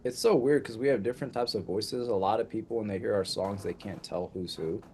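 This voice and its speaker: A man's voice: fairly low pitch, mellow, slight background noise. A young man, a band member, chatting eagerly and upbeat in an interview. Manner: upbeat, eager, talks in quick bursts. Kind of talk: casual interview chat.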